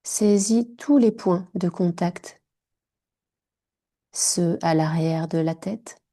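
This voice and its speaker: A woman guiding a yoga nidra relaxation in short, hushed, soothing phrases. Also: lowish voice, takes it slowly. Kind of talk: guided meditation.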